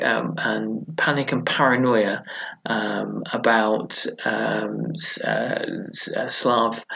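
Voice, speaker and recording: Halting man explaining history, dull recording. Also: on the low side, vocal fry, a bit bright, slightly rough. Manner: thinking aloud, explanatory, halting with many pauses. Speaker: history podcast host. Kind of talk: unscripted history lecture with read-out passages.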